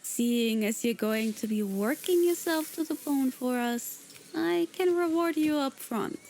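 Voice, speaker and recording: A woman plays a cool, condescending non-human lady who looks down on humans. She draws out her words in an unhurried, languid drawl, and her voice sits high and light. It is a scripted roleplay spoken straight to the listener. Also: darkish tone, a little hiss or hum. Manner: slow, languid, disdainful drawl. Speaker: virtual streamer voicing her persona.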